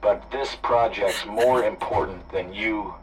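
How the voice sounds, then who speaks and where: A man playing a calm, calculating antagonist in an audio drama, speaking in a measured, smug, self-righteous way. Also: lowish voice, over a noisy crowd, poor audio. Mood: regretful, insistent. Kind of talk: audio fiction drama acting.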